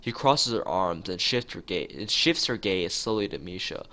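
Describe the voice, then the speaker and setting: A man's voice: low voice, expressive, normal speed, bright, forceful tone. A man streaming a visual novel read-aloud, narrating with casual asides and sometimes stumbling over his words. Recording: music behind the voice.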